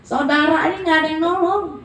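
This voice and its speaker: A woman's voice: extremely high pitch, brisk, fairly bright tone, far from the mic. Emphatic woman in her forties, echoey. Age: 40s. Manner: blunt, emphatic, colloquial. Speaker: network marketing presenter. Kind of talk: motivational talk.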